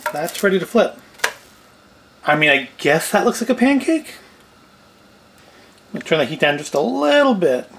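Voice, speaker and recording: A middle-aged man giving casual cooking and food review commentary with dry, self-deprecating humour. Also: a little high. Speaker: video host. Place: indoors at home, kitchen and tabletop set.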